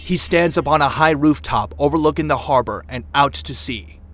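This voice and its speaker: A man's voice: a little high, moderate pace, music in the background, lightly acted. A man narrating an audio drama in a scripted, dramatic storytelling style.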